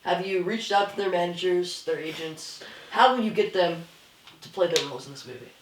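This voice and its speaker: A man's voice: sits high, a little fast, distant mic. A man moderates a casual discussion, posing questions. He is heard a little way from the mic in an echoey room, with faint noise and clicks behind him.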